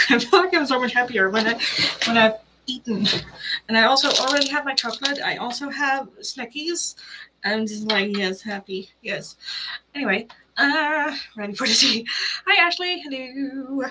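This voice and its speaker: A woman with a bright, ringing voice pitched a bit high chats casually through her tarot live stream. She talks in a bubbly, rambling way, full of little fillers, greeting viewers and thinking aloud. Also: a little hiss or hum, low-quality recording.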